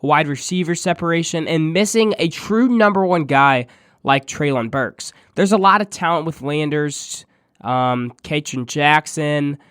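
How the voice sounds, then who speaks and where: A man with a congested, nasal voice while under the weather, hosting a podcast and giving a steady, stat-heavy sports preview. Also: a little high, unhurried, clean tone, dry recording, studio quality. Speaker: podcast host.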